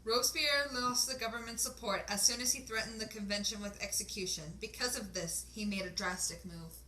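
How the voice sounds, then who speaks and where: A woman's voice: mid-range voice, fairly even intonation, brisk, background noise. A woman reading scripted history narration as the narrator of a puppet show.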